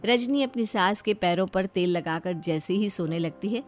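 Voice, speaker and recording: Woman storyteller over soft music. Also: medium pitch, normal speed. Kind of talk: scripted story narration.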